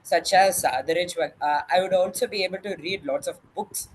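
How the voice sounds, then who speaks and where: A teenage boy with an Indian English accent and a Tamil mother tongue answers exam-style questions. He phrases things formally and carefully, with a few hesitations. His voice is a touch high, with faint noise behind it. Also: fairly quick, low-quality recording. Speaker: student.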